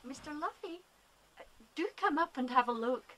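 An actress playing a good-natured country farm wife in a children's audio drama, husky and homely. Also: female voice, sits high, animated, moderate pace, noisy background. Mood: homely relief.

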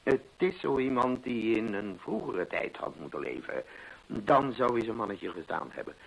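An actor playing a genial pensioner and loyal companion in a radio play, chatty and a little hesitant, with a gravelly, husky voice. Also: male voice, fairly low pitch, big pitch swings, normal speed, exaggerated voice, poor audio. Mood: chatty, informative. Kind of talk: Dutch radio play acting. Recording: old radio play recording.

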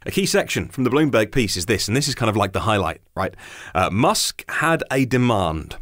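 A man's voice: on the low side, sing-song, moderate pace, fairly bright tone, no echo, clean studio sound. A man hosting a news podcast, reading items out and adding informal, chatty asides. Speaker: podcast host.

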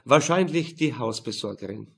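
A male narrator reads a crime novel for an audiobook. His voice sits in a middle register and has a husky, unpolished grain. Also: mid-range voice, animated, normal speed, fairly bright tone.

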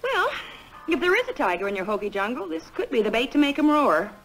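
A confident young lady detective, with a high, lively voice. She speaks in 1960s TV drama style, with faint music behind her. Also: fast talker, poor audio. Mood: determined. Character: confident young female private detective undercover as cigarette girl.

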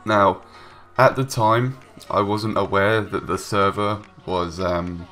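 Young male gaming vlogger, very low voice, stuffed-up from a cold, hesitant rambling, faint music behind. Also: fairly even intonation, takes it slowly, bright, forceful tone. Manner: rambling, hesitant, many ums and restarts. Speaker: gaming YouTuber. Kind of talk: casual voice-over commentary. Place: home room, recorded separately from gameplay.

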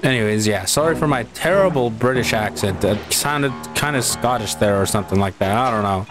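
A Canadian man with a Canadian accent giving casual, excitable let's-play commentary, swearing and laughing often. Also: on the low side, brisk, music in the background. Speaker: gaming channel host.

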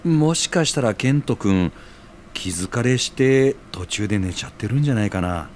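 Man playing an easygoing, expressive male friend. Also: lowish voice, moderate pace, a little hiss or hum, lightly acted. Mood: reassuring. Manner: gentle, reassuring. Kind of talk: audio drama acting.

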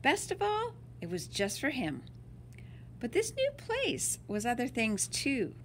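Woman, storybook read-aloud for kids: animated, dramatic word emphasis, slow pacing, faint noise behind. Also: fairly high pitch. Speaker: teacher reading to children.

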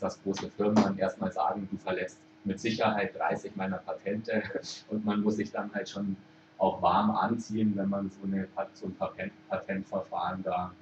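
A man from Germany giving an informal conference talk, thinking aloud and pausing often with hesitations. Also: lowish voice, noisy background. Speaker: conference speaker. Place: lecture room at a conference. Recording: echoey hall, distant mic, muffled.

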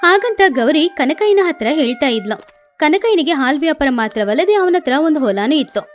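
A woman's voice: high-pitched, lively intonation, normal speed, faint music behind. A woman narrating a scripted children's moral story as the storyteller.

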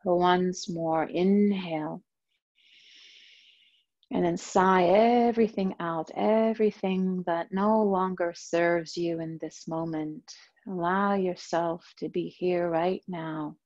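A woman guides a breathing meditation very slowly, in a low, mellow voice. Her soothing instructions come with plenty of space between phrases. The recording sounds a little dull and lo-fi. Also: fairly even intonation. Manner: slow, soft, soothing instruction. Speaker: yoga teacher.

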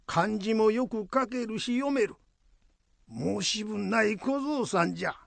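A man's voice: sits high, unhurried, vocal fry, fairly bright tone, no echo, exaggerated voice. A man playing an old Buddhist head priest in a radio drama, fussy but fond, with grumbling, old-fashioned elderly speech. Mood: exasperated.

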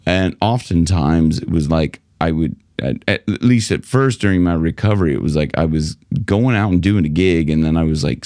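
A middle-aged man talks low and close into a studio mic, coaching singers in a quick, animated way with lots of hand gestures and fillers. The sound is dry and tight, with no room echo. He sits in a small home studio. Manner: animated, gestures with both hands, many fillers. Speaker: vocal coach. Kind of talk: direct-to-camera coaching talk. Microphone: large studio mic in front of him.

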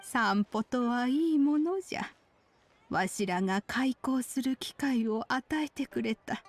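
Kindly old granny, game character: slow, wistful, gentle, slightly high. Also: female voice, animated. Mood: friendly. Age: older. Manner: old-fashioned elderly speech, reflective. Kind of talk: Japanese video game voice acting.

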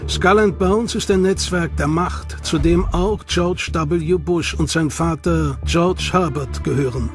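A man narrates a documentary in a measured, serious tone, his voice sitting a little higher than most men's. A clear music bed runs under every line.

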